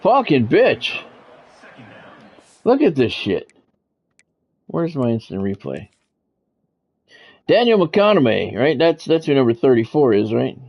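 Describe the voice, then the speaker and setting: A man's voice: medium pitch, sing-song, slow pace, pauses often. A man streaming a football video game, calling it live in clipped, practiced announcer phrases mixed with casual, offhand remarks. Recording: dull lo-fi sound, faint background noise.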